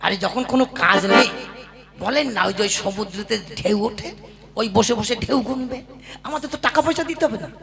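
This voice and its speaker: A man doing a gravelly, exasperated puppet king. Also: high-pitched, brisk, sharp tone, exaggerated voice. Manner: exasperated, lordly scolding. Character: grumpy, puzzled male puppet king. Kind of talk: comic puppet show acting.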